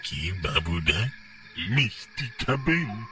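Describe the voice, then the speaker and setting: A man voicing a grinning, bloodthirsty demon sweet seller in a cartoon, speaking in a slow, drawn-out, menacing way. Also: on the low side, sing-song, pushed and bright, exaggerated voice. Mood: sly. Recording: distant mic with noisy background.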